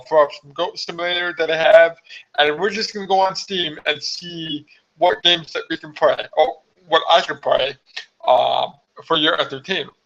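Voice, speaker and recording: A hoarse man chatting casually on a live stream from home, rambling with many fillers. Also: fairly high pitch, a bit bright. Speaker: vlogger hosting a live stream. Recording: slightly dull lo-fi live stream audio.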